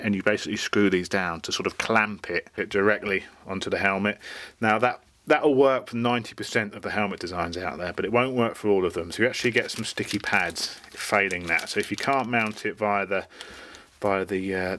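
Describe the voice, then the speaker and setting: A man's voice: lowish voice, bright, forceful tone, a little hiss or hum. A British man giving a casual hands-on product review, explaining things informally as he goes. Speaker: motorcycle gear reviewer.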